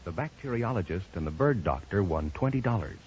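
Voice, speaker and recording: Brisk, lively American radio announcer, vintage sound. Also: male voice, sits low, husky. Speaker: quiz show announcer. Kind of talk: 1940s radio announcing, scripted. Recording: muffled vintage radio broadcast.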